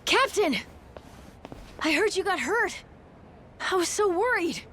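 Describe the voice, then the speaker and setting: An eager, plucky young child from a pirate video game. The voice is very high and bright, with animated swings in pitch, over faint ambient noise. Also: female voice, takes it slowly, exaggerated voice. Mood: worried. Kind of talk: video game cutscene acting.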